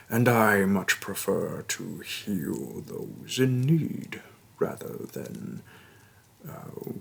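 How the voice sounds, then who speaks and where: A man playing a hulking, patient orc shaman and healer in a fantasy roleplay audio, speaking in a gravelly, breathy voice with a slow, deliberate and reassuring delivery. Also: full character voice. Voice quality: gravelly, husky, breathy low voice. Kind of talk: fantasy roleplay audio acting, addressing the listener.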